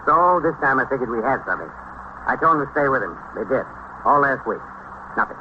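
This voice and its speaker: A man acts out a determined detective captain in an old radio crime drama. He delivers clipped, factual reports at a quick pace. The recording is muffled and noisy. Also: mid-range voice, animated, natural voice. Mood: matter-of-fact. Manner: clipped, matter-of-fact case reporting. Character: determined male safe squad detective captain. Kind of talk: 1950s radio drama acting.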